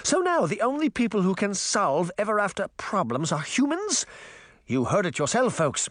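A man voicing a pompous, furious fairy-tale queen in an audiobook, with a high, strident voice. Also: sing-song, normal speed, no room sound. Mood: raging. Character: shrill pompous fairy-tale queen, middle-aged, ranting. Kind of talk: audiobook character voice.

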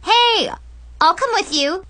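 A woman's voice: on the low side, measured pace, bright, forceful tone. A woman voicing a bubbly, gushing teenage girl with a breathless voice in a storybook narration. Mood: cheerful.

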